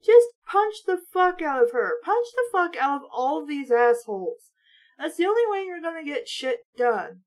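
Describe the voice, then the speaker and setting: A woman's voice: high voice, big pitch swings, normal speed. A woman reading aloud casually with blunt, sweary, sarcastic running commentary in a ranting manner.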